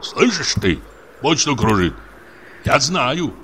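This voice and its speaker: A man voicing a surly, superstitious village sexton who grumbles and mutters gruffly. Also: mid-range voice, sing-song, slow pace, a bit bright, slight background noise, pitched above the performer's own voice, heavily acted. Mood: accusing. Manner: accusing, grumbling.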